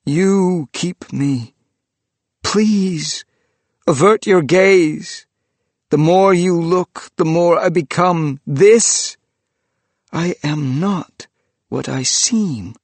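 A man's voice: a little high, very slow, pauses often, dry recording, voice pushed up, full character voice. A man voicing a frightened, mournful male ghost who speaks in a trembling, pleading way.

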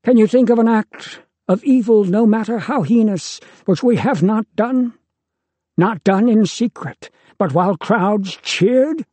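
A fervent old father figure speaks in a raised, impassioned voice, as a man puts him on. His tone carries a preacher's urgency, with a husky edge and a close, dry storybook sound. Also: sits high, unhurried, darkish tone, dry recording, voice pushed up. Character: elderly male father, pleading and fervent.

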